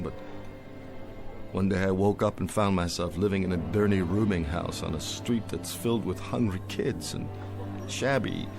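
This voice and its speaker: An actor playing an aging, tender-hearted, down-and-out drinker in an emotional radio drama style. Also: male voice, deep voice. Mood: weary, bitter. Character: aging, weary, drunken, tender-hearted down-and-out man. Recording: radio drama with music underneath.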